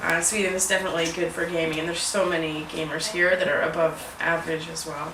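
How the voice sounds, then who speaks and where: Woman, mid-twenties, gamer, low-set easy voice, distant echoey room with noise. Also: level tone, fast talker. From Vancouver, Canada. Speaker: pro gamer. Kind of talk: casual interview. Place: indoors in a house.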